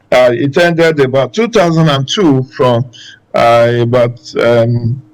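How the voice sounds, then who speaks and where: A man speaking as a guest analyst on a political panel, measured and full of pauses. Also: medium pitch, rough recording. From Nigeria. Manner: measured, many filler pauses. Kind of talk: panel discussion.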